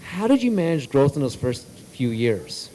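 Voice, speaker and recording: A man's voice: medium pitch, big pitch swings, moderate pace, warm tone, slight background noise, rough recording. A Canadian man hosting an on-stage interview, asking questions casually with fillers. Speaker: interviewer host. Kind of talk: fireside chat interview. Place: event stage.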